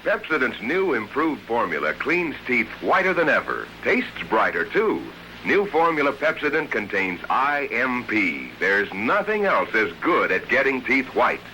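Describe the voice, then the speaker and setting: Very bright, forceful American ad announcer. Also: male voice, medium pitch, very animated, a little slow, poor audio. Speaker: radio commercial announcer. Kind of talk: vintage radio ad read. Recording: old radio ad on cassette, faint noise.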